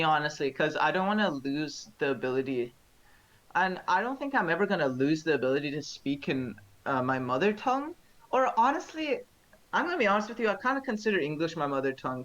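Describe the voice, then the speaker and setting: A teenage boy co-hosting a podcast, chatting casually, asking questions and pausing to search for words. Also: fairly high pitch, dull audio. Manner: asks questions, lively and thoughtful, searches for words. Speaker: podcast co-host. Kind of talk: casual chat.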